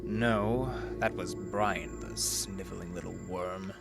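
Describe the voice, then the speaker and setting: A man's voice: low voice, steady tone, takes it slowly, vocal fry, over loud music, full character voice. An actor playing a suave, sinister male vampire cultist in a horror audio drama, speaking with mocking, genteel menace.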